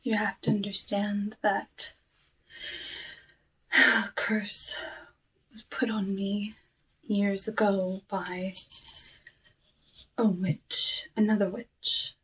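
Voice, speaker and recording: A woman playing a cursed outcast witch of the woods in an ASMR roleplay, speaking softly and often whispering, with a wistful, genteel manner. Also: mid-range voice, flat delivery. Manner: soft, unhurried, wistful, genteel, drawn-out phrasing. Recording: noisy, with clicks and dish clatter.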